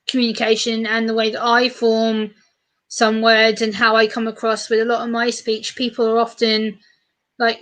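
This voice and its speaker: A woman from the UK chatting fast and animatedly on a casual live stream, co-hosting and reading out viewer comments. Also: a little high, monotone, fairly bright tone. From United Kingdom.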